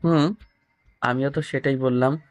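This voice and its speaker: A man's voice: medium pitch, steady tone, measured pace, dry recording. A young man reading a scripted first-person love story and acting out the lead's dialogue. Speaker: story narrator voicing the male lead.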